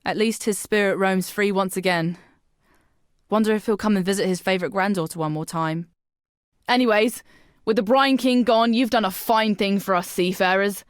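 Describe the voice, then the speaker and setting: A woman's voice: mid-range voice, moderate pace, dry recording, clean studio sound, close to an everyday voice. An actress playing a brash, bawdy young female pirate in a video game, talking in swaggering, salty old-time sailor slang. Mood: bawdy, defiant. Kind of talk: video game character dialogue.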